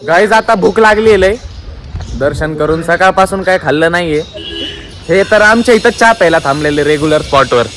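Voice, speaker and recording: High-pitched young man vlogging in street noise. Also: fairly quick, fairly bright tone. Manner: teasing, addresses viewers as brothers. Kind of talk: casual vlog chat with friends. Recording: noisy outdoor street with traffic and chatter.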